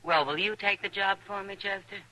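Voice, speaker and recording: An actress playing a wry, world-weary saloon boss in an old radio western, plain-spoken and dry. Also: female voice, mid-range voice, steady tone, fairly quick, bright, forceful tone, close to an everyday voice, rough recording. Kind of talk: 1950s radio western acting.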